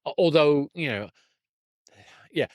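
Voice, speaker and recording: A man's voice: a little high, lively intonation, takes it slowly, darkish tone, no room sound. A man chatting casually as a podcast host, thinking aloud and often correcting himself mid-sentence. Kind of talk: casual conversational interview.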